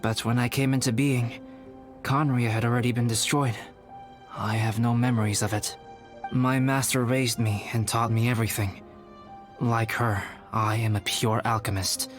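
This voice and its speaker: A man plays a scholarly, youthful alchemist from a fantasy game, with a medium-pitched voice. He is reserved and earnest, choosing formal words with calm care, over music. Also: natural voice. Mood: composed, reflective. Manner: measured, formal, articulate, calm. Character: composed, scholarly young-looking male alchemist, reserved and earnest. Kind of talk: video game character acting. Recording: music under the voice.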